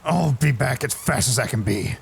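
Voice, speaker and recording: A man playing a gruff, weary griffin gunslinger with a gravelly voice and curt manner in a fantasy audiobook. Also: mid-range voice, animated, moderate pace, heavily acted. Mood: weary, matter-of-fact. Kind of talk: acted audiobook dialogue.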